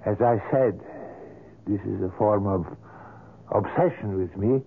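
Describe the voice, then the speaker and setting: Courteous, obsessive sculptor in radio drama. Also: male voice, fairly low pitch, a little slow, creaky, slightly rough, heavily acted. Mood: obsessive, persuasive. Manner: formal, florid, earnest. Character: courteous, obsessive older male sculptor, persuasive and florid. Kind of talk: 1970s radio drama acting. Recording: muffled, narrow-band 1970s radio recording.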